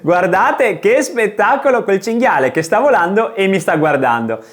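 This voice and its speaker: A man narrates a tech review with clear enthusiasm. His pitch swings freely and he puts emphasis on key points, sounding like an excited presenter showing off a product. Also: normal speed.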